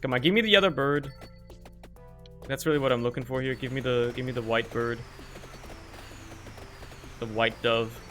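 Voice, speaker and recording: A man's voice: mid-range voice, normal speed, pauses often, pushed and bright, faint music behind. A young man with German as his first language, streaming a video game and rambling through casual, joking commentary that swears often, recorded at home on a desk microphone.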